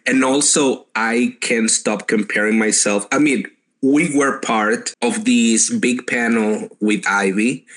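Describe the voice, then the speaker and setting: A man's voice: mid-range voice, fairly bright tone. A man in his late twenties from Venezuela, speaking English with a Spanish accent as a guest in a casual conversation, thoughtful and searching for words. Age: late 20s.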